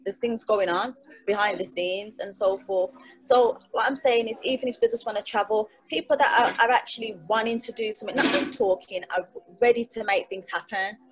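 A woman's voice: mid-range voice, a little fast, rough recording. A woman, speaking as a guest on a video call from her living room, talking in an emphatic, motivational way and repeating phrases for stress. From England. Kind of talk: casual video call interview. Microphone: webcam or laptop mic on a video call.

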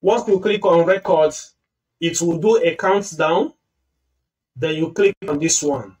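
A man's voice: a little high, a little slow, a little mellow, far from the mic, rough recording. A man hosting a screen-recorded tutorial, patiently explaining each step. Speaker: tutorial host.

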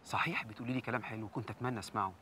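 A man's voice: medium pitch, fairly even intonation, racing, bright, forceful tone, slight background noise, natural voice. An actor playing a troubled young man who earnestly confides his doubts to his beloved, with an Egyptian accent. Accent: Egyptian Arabic. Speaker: Youssef.

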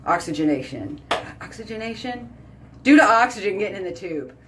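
A woman, chatting casually to a phone camera at home as a beauty vlogger, talking in a lively, filler-heavy way. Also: medium pitch, fairly quick, noisy background. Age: 30s. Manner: chatty, animated, lots of "like" and "y'all". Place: small bedroom or bathroom at home. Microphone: phone camera at arm's length.

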